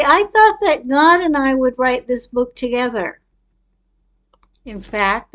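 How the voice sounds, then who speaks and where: A middle-aged woman giving a prepared personal talk as a storyteller, with deliberate, expressive and reflective delivery. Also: on the high side. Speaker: speaker telling her own life story.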